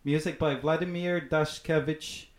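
A man's voice: fairly high pitch, fairly even intonation, measured pace. A man co-hosting a film podcast in casual chat, laughing at himself as he stumbles over names. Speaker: podcast co-host. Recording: echoey room with faint noise.

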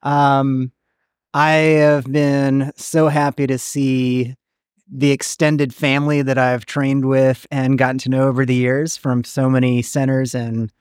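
A man's voice: mid-range voice, dry recording, studio quality. A man being interviewed as a conference delegate, answering casually and reflectively.

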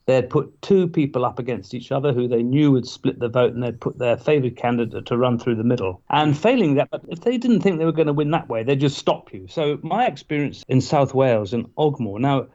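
A man, a guest in a casual interview, tells anecdotes with halting restarts and wry asides. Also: medium pitch, animated, dark tone. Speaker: guest, former parliamentary candidate. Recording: dull lo-fi sound.

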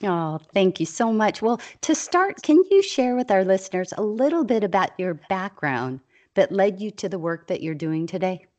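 A woman's voice: mid-range voice, animated, moderate pace, a little mellow, dull audio. A woman hosting a radio show, introducing her guest and asking questions with enthusiasm. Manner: enthusiastic, effusive.

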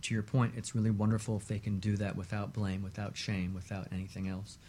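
A man with a fairly low, very mellow voice talks in a measured, reflective way, like a counselor in discussion. His phrasing is conversational and thoughtful. There is some noise in the recording. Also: level tone. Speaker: relationship therapist as show guest.

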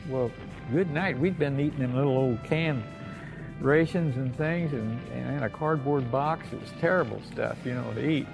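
Gravelly old American veteran reminiscing. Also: male voice, medium pitch, moderate pace, rough recording. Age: older. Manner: folksy, plain-spoken. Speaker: World War II veteran recounting memories. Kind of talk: unscripted oral-history reminiscence. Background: music bed.

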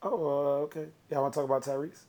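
A man with a raspy voice, co-hosting a podcast and joking in loose, slangy casual chat. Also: medium pitch, fairly even intonation, fast talker, dark tone. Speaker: podcast co-host.

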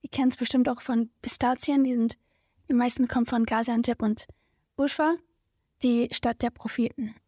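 A woman's voice: fairly high pitch, fairly even intonation, normal speed, warm tone, slightly rough, no room sound, clean studio sound. A nineteen-year-old woman from Berlin, a psychology student and podcast guest, talking openly and a little nervously, searching for words now and then. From Berlin, Germany. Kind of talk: casual conversation.

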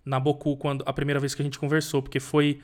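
Brazilian male host, slightly high voice, warm and chatty, laughs easily. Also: monotone, quick pace. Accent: Brazilian Portuguese. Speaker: podcast host. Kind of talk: casual interview chat.

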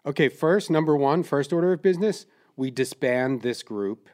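A man's voice: medium pitch, lively intonation, normal speed, a little mellow. A man hosting a comedic podcast, taking charge and steering the banter with his guests. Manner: bossy, steers the conversation. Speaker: podcast host.

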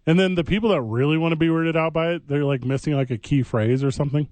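A man hosting a comedic sports radio chat, animated and breaking into mocking voices. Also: fairly high pitch, fast, dry recording. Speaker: sports talk show host.

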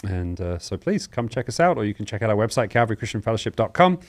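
This is a man with a fairly deep voice hosting a live call-in style program. He talks informally and welcomingly, moving through announcements and explanations in a chatty broadcast manner. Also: sing-song, fast talker. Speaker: host of a live Bible question show.

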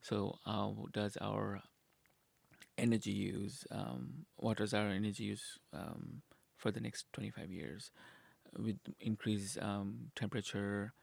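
A man's voice: fairly low pitch, fairly even intonation, slow pace, vocal fry, a little mellow, no room sound. A man from Nepal, a university sustainability director, answering interview questions earnestly and hesitantly, with many fillers and restarts.

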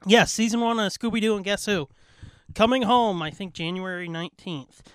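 Male podcast co-host, high voice with big swings, close dry sound, casual and sweary fan chatter. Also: moderate pace, smooth, no room sound. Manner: profane, animated fan talk. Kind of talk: casual pop-culture chat.

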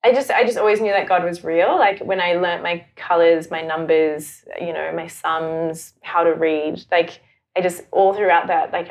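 A woman from South Africa who later lived in New Zealand, talking casually and informally as an interview guest, peppering her sentences with "like". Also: fairly low pitch. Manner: informal, animated phrasing with frequent "like". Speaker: podcast guest, sexuality and mindfulness facilitator.

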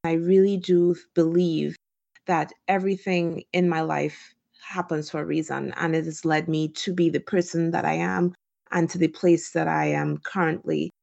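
A woman's voice: low-pitched, little pitch movement, moderate pace, vocal fry, darkish tone. A woman from Trinidad and Tobago giving reflective, informal interview answers as a guest, recorded over a remote call with dull, lo-fi sound. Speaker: physician guest on a podcast.

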